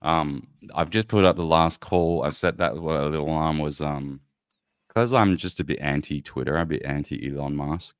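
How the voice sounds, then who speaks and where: Man, extremely deep voice, relaxed host, agreeable, casual chat. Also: normal speed, smooth, dry recording, studio quality. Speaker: podcast host. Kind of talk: casual conversation.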